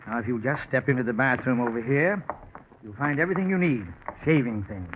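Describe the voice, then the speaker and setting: Smooth, nervous businessman plotter acted by a man, medium pitch, repeating himself politely; thin 1940s radio sound. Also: lively intonation, brisk, close to an everyday voice. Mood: eager, nervous. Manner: genteel, coaxing, repeats words. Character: well-off, scheming businessman, smooth-talking and nervous. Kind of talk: 1940s radio mystery acting. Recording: scratchy, narrow-band 1940s radio recording.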